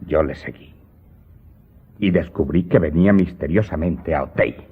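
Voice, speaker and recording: Deep-voiced vengeful Corsican servant. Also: male voice, slightly rough. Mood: tense recounting. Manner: deliberate, dramatic storytelling with emphatic outbursts. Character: vengeful Corsican servant confessing a killing to his master. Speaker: voice actor in an audio drama. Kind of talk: dramatized radio drama monologue. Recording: dull, muffled audio with loud music underneath.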